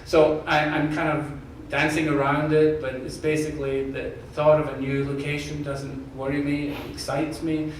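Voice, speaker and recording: A man with a slight Scottish accent talks earnestly, with jokes, to a room of staff. He is picked up from far away in a very echoey space. A steady background hiss sits under his voice. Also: mid-range voice. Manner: earnest, jokey, repeats words when restarting. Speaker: university president candidate. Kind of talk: answering questions at an open forum. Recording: echoey room, distant mic, background noise.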